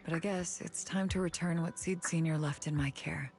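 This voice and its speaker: Cool cynical lady doc from anime game, low creaky voice, dry and sardonic. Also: steady tone, moderate pace, darkish tone, soft background music. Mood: cool, grave. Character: Veronica, cool, cynical adult female doctor. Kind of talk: anime video game voice acting.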